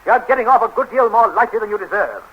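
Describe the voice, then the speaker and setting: High-voiced, blustering English husband. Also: male voice, expressive, fast, a bit bright. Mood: outraged. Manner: blustering, pompous, stammering when flustered. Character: indignant, blustering, stuffy wronged English husband. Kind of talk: 1930s radio stage comedy acting. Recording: noisy dull 1930s radio broadcast.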